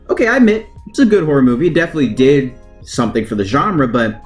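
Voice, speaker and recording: A young American man with a highly animated, mid-pitched voice talks casually, as if recounting a personal story to viewers. His phrasing is colloquial and self-deprecating, with a joking edge. Guitar music plays behind him. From United States. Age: young adult. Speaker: vlogger telling personal stories.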